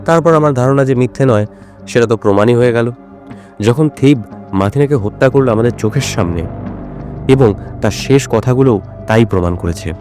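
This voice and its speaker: A man's voice: medium pitch, moderate pace, long pauses, a little mellow, soft background music, lightly acted. A man playing a composed, probing detective in an audio drama. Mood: reflective, explaining.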